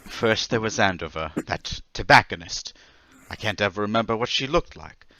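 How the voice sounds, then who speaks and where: An actor playing a troubled, desperate man confessing to murders, halting and pleading in old time radio drama style. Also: fairly low pitch, animated, a crowd in the background. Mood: brooding, unsettled. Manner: halting, agitated, stammering, pleading.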